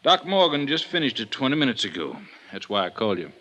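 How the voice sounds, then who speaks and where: A baffled, wisecracking veteran American police detective in a 1950s radio drama. He sounds middle-aged, with a sharp, forceful edge and a very lively swing in pitch. His manner is folksy and dry. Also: fast, poor audio. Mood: dry, wry.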